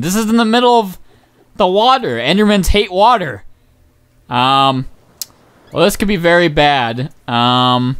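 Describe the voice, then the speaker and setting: A young man giving casual, rambling gameplay commentary as a channel host, excitable and swearing often, his voice going hoarse. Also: mid-range voice. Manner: rambling, excitable, swears, many ums and uhs.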